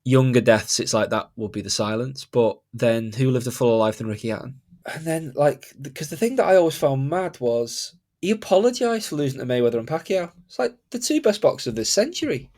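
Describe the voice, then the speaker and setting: Male Mancunian host, casual chat, animated, laughing storyteller, mid-range voice. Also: mid-range voice, a little fast. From Manchester, England. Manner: animated, laughs while telling stories. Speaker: podcast host.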